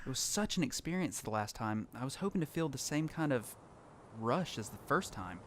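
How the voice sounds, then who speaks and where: A man's voice: mid-range voice, animated, moderate pace, a bit bright, close to an everyday voice. Troubled male survivor acted by a man, noisy backdrop. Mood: reflective, subdued. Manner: introspective, storytelling. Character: troubled lone male survivor narrating his uneasy experiences. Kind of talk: first-person audio drama narration. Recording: clearly noisy background ambience.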